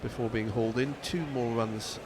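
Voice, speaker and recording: A man's voice: mid-range voice, fairly even intonation, normal speed, background noise. A man giving live ball-by-ball cricket commentary on internet radio, with dry, wry asides between deliveries.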